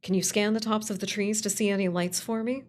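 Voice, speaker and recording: A woman playing a calm, steady radio call-in host in a radio play, measured, reassuring and authoritative. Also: mid-range voice, natural voice.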